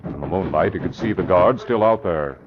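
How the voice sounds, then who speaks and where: A man's voice: sits low, animated, brisk. A man narrating a scripted crime drama in 1940s radio style. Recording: dull old radio recording, crowd noise.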